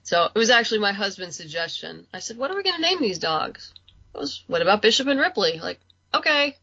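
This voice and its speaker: Bright-voiced woman chatting, slightly dull audio. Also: medium pitch, sing-song, fairly quick, husky, dry recording. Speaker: interview guest, comic and audio drama creator. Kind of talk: casual podcast chat.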